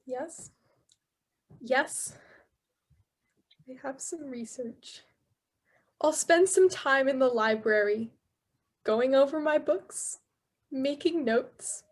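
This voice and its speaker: A woman's voice: high voice, slow, long pauses. A woman playing a grieving, guilt-haunted solitary scholar-poet in a radio play, with a hesitant, theatrical delivery. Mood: subdued grief. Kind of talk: stage radio-play acting, verse recitation.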